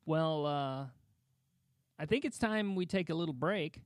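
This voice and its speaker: A man's voice: on the high side, a little slow, dry recording, clean studio sound. Forty-something man hosting a chat show. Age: 40s. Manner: chatty, laughs often, lots of fillers. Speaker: podcast host. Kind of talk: casual comedic chat.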